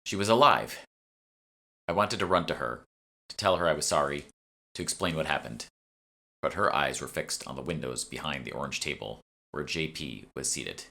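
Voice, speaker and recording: A man's voice: a bass voice, expressive, normal speed, gravelly, long pauses, pushed and bright. A man reading fantasy fiction as a scripted audiobook narration into a close microphone. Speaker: author reading own audiobook. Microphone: close USB desktop microphone.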